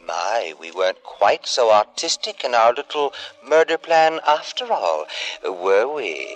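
A man's voice: medium pitch, big pitch swings, unhurried. A man playing a gloating, mockingly courteous devil who narrates a 1950s radio drama in a taunting, theatrical manner. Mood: mock sympathy. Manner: mock-sympathetic, taunting, theatrical. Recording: vintage radio recording with music.

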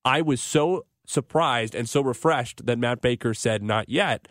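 A man hosting a live sports radio show, talking through soccer in a measured, analytical, articulate way. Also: mid-range voice, fairly bright tone, no echo, clean studio sound.

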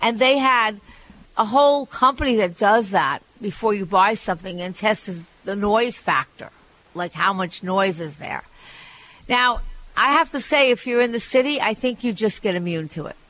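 A woman's voice: medium pitch, moderate pace, fairly bright tone, poor audio. A woman hosting an advice radio show, talking frankly and chattily, often restarting her sentences. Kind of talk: advice call-in radio talk.

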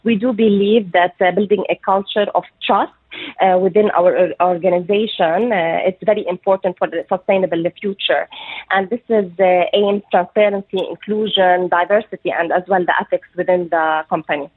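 Woman exec on the phone, lowish voice, lots of uh, formal, tinny line. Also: steady tone, moderate pace, dry recording. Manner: hesitant, many uh fillers, formal phrasing. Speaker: company executive guest. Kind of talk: interview answers over a phone line.

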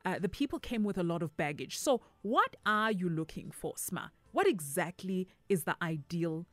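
A woman's voice: on the low side, expressive, moderate pace, fairly bright tone, no echo. A South African woman hosting a radio dating segment, teasing and mixing English with Zulu. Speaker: radio host. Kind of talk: casual radio chat. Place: radio studio.

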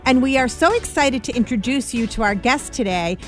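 A woman's voice: fairly high pitch, expressive, fairly quick, faint music behind. A woman hosting a radio interview programme, introducing and questioning in a presenter's style. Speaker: radio show host.

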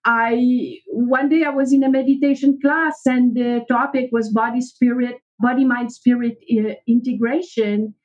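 A woman's voice: fairly high pitch, fairly even intonation, moderate pace, hardly any pauses, darkish tone, lo-fi sound. A woman from French-speaking Switzerland, a native French speaker with a French accent, telling her story earnestly as a podcast guest.

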